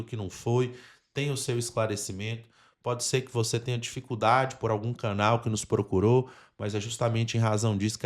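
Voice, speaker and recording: Brazilian man explaining in a podcast. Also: lowish voice. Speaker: podcast host and institute representative.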